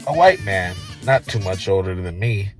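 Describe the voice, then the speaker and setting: A man's voice: deep voice, animated, moderate pace, soft background music. A man narrating a first-person audiobook in a conversational, profane storytelling style.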